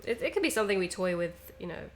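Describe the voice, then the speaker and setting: A woman's voice: mid-range voice, sing-song, racing, a little hiss or hum. A woman chatting casually as co-host of a game stream. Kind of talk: casual chat.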